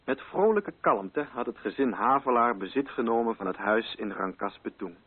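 Husky male radio narrator. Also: mid-range voice, expressive, moderate pace, phone-quality sound. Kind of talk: scripted literary narration. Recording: band-limited radio recording.

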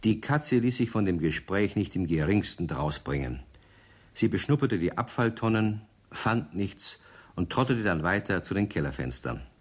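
A man narrating a children's radio play in a measured storytelling manner. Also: on the low side.

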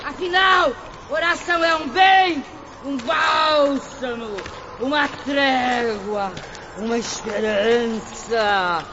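An actor playing a bitter, tormented male spirit in a cursed swamp, mocking and shouting in despair. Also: very high-pitched, slow, crowd noise, full character voice. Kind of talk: radio drama acting. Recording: dull lo-fi audio with noise.

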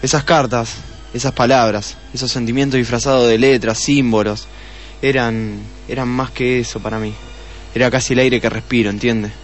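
Casting: male actor as sorrowful swindler, mid-range voice, halting melodramatic delivery, faint music bed. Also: mid-range voice, fairly even intonation, close to an everyday voice. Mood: melancholy. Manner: halting, stammering, repeating words. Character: desperate, lovelorn male swindler, cornered and sorrowful. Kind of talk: melodramatic radio drama acting.

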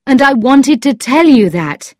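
A woman's voice: high-pitched, sing-song, measured pace, darkish tone, no echo, studio quality, voice pushed up, full character voice. Woman voicing an earnest, emphatic lovestruck lord. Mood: earnest, loving. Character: earnest lord and ocean scientist, a man, hesitant, lovestruck.

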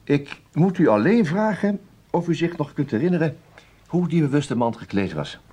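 Hesitant, prying detective, swooping pitch. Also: male voice, big pitch swings, normal speed, dark tone, husky. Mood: apologetic, probing. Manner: hesitant, repetitive, disarmingly polite. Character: chatty, falsely bashful, prying male police detective. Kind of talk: radio drama acting.

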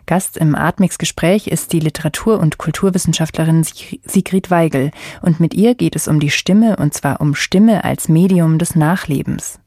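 Female radio presenter, scripted intro read, lowish and dark-toned, dry studio sound. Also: normal speed, few pauses, no room sound.

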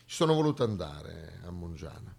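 A man performing an emphatic theatrical monologue, with rhetorical flourishes and dramatic pauses. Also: low voice, sing-song, creaky, a little mellow, husky. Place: theatre stage, live performance.

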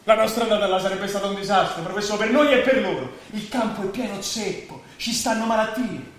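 Agitated, echoey, high-voiced indignant man. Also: brisk. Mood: breathless, bitter. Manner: agitated, declamatory, swinging from hushed to shouting. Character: indignant homeless man reporting to the professor. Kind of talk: theatrical Italian stage acting. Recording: very echoey stage hall recording.

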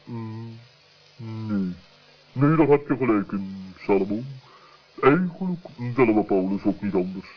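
A man voicing a grave, disapproving owl with a husky voice and ponderous, hemming manner in a children's radio play. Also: lowish voice, animated, measured pace. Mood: musing. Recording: dull old radio recording.

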